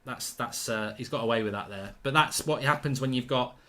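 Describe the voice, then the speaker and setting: A man hosts a live football watchalong in a blunt, conversational way, reading viewer messages between reactions to the play. His voice sits in an ordinary middle pitch. He sounds indignant when he swears mildly about the refereeing. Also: fairly quick, pushed and bright.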